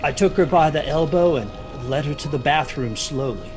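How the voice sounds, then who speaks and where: An actor playing an anxious, guilt-ridden young son, narrating a story in the first person with literary intensity. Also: male voice, a little high, lively intonation, normal speed, music in the background, natural voice. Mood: tense.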